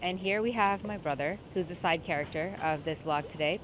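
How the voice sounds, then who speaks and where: A woman's voice: lowish voice, a little fast, slight background noise. A woman doctor chatting casually to her viewers as she vlogs her week, upbeat and direct. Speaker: vlogger, cardiologist. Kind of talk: casual vlog narration.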